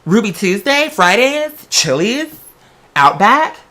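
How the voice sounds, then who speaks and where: American accent, bright sharp tone, mid pitch, casual vlog chat, sassy, theatrical, digressive, drag slang. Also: very animated, slow. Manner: rambling, digressive. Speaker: video creator and host. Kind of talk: casual chatty vlog monologue.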